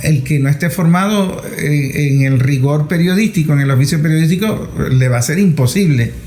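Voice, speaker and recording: A man interviewing as a journalist, speaking conversationally in measured phrases that build into lists. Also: on the high side, a little mellow. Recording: echoey room with background noise.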